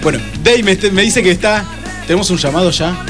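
A man's voice: high voice, a little fast. An Argentine man hosting a casual live radio chat, talking in a jokey, informal, chatty way. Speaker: radio host. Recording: radio talk with music underneath. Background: music.

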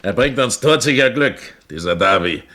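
An actor playing a gruff, suspicious pirate captain who swears and blusters, in a German radio play. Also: male voice, medium pitch, expressive, normal speed, pushed and bright. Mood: gruff, swearing. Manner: blustering, coarse, distrustful.

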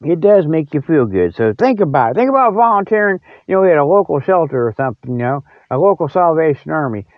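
Dull dry recording, older man, American accent, slightly high voice, folksy, rambling podcast host. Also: big pitch swings, quick pace, hardly any pauses, dry recording. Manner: folksy, jokey, often chuckles. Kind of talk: rambling casual solo chat. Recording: dull lo-fi audio.

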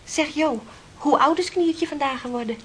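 A woman's voice: sits high, moderate pace, fairly bright tone, a little hiss or hum. An actress playing a chatty, frank young woman engaged to be married, in a Dutch radio play.

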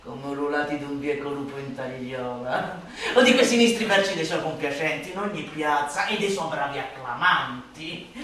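An actress playing a bitter, mocking satirist who declaims a theatrical radio monologue against a tyrant, swinging between drawn-out murmurs and shrill cries. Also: female voice, sharp tone. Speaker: stage actress performing a literary monologue. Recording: very echoey, distant, slightly noisy hall.

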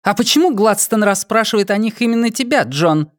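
A man putting on an imperious high-voiced noblewoman. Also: lively intonation, a little fast, no room sound, clean studio sound. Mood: cold, probing. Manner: commanding, sharp-tongued. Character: imperious noblewoman interrogator, sharp and impatient. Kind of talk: audiobook character voice.

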